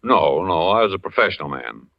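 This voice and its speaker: A genial older retired detective in a vintage radio drama. His pitch swings widely as he chats in a folksy, back-slapping way. The recording is dull and aged. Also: very animated, fairly quick, vocal fry, exaggerated voice. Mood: chatty. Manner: chummy, folksy, talkative. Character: hearty, sociable retired male FBI detective. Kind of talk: 1940s radio acting.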